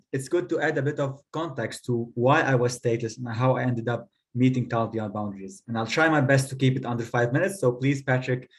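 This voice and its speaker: A man, telling a personal story on a panel in a measured, articulate, rhetorical way. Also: medium pitch. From Lebanon. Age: 30s. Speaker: guest speaker telling their story.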